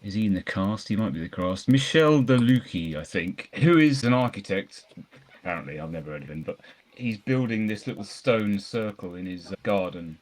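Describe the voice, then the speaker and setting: A man's voice: on the low side, animated, normal speed. A man co-hosting a podcast, casually talking through films in a rambling, enthusiastic way. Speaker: podcast co-host reviewing films. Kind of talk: casual film discussion.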